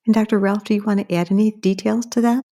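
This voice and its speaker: A woman's voice: medium pitch, fairly even intonation, fast, warm tone. A woman hosting a professional medical interview, putting questions to her guests. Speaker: interviewer, journal editor host.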